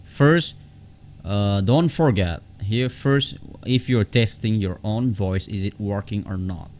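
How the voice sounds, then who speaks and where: A man hosting an unscripted software tutorial in a halting, repetitive way, often restarting his phrases. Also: lowish voice. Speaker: tutorial host.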